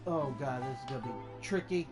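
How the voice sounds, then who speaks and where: Casual gamer over loud game music. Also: medium pitch, brisk. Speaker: gameplay streamer. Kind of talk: casual gameplay commentary.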